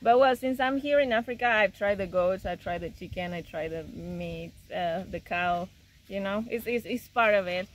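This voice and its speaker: A woman's voice: mid-range voice, normal speed. A young woman from Argentina talks casually in a rural yard, picked up close by a camera mic. She often repeats a word or two while she finds the next one. A faint outdoor hum sits behind her voice. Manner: repeats words while searching for them. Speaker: visiting guest.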